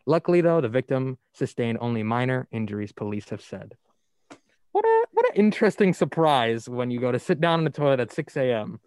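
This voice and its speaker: A man's voice: mid-range voice, expressive, a little fast, darkish tone, clean tone, dry recording. A young man from New Jersey hosting a casual college radio news chat, reading stories aloud in a playful, upbeat way. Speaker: radio show host.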